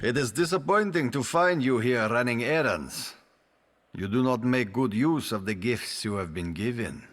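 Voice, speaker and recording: An actor playing a stern, righteous zealot in a video game, speaking in a grave, accusatory and deliberate manner. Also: male voice, mid-range voice, lively intonation, close to an everyday voice. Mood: scornful, grave. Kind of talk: video game cutscene acting.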